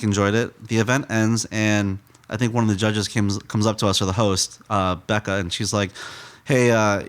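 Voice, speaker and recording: Laid-back man telling stories, low voice. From United States. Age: 30s. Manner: relaxed, rambling with frequent fillers. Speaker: donut shop owner. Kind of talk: casual interview storytelling.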